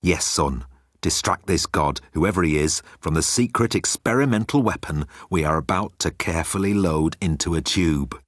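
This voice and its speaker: A man's voice: sits low, normal speed, dry recording, studio quality. A man voicing a pompous, menacing old alien commander who croons with sardonic deliberation in a sci-fi audiobook. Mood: crafty, smug. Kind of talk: audiobook character acting.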